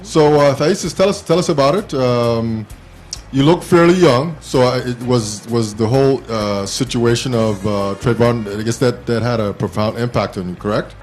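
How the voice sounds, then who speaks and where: A man in his fifties casually hosting an internet talk show from a small studio, with a halting, informal delivery. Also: medium pitch, a little mellow. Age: 50s.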